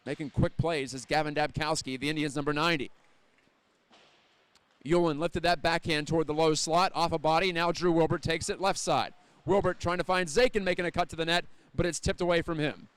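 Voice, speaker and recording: A man gives live hockey commentary in a clear, fairly high voice. His delivery is descriptive and measured, ready to lift with the play, and the sound is close and dry. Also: steady tone, a bit bright, no room sound. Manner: measured, descriptive, lifting on scoring chances. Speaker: play-by-play announcer. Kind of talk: live ice hockey radio-style commentary.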